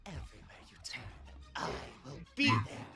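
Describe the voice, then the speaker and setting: A woman voicing a sadistic, gloating female monster of darkness in a horror game, with theatrical, drawn-out taunts and whispers. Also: very deep voice, huge pitch swings, pushed and bright, soft background music, heavily acted. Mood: sinister, gloating. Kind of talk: horror visual novel voice acting.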